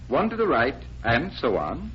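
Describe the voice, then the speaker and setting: An actor playing an assured, genial stage magician in 1940s American radio style, explaining with a deliberate showman's manner. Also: mid-range voice, wildly swooping pitch. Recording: muffled, noisy vintage radio recording.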